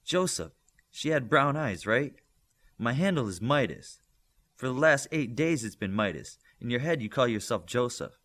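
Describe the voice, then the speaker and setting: A man's voice: medium pitch, big pitch swings, normal speed, a bit bright. Man voicing a bold, teasing teenage telepath girl.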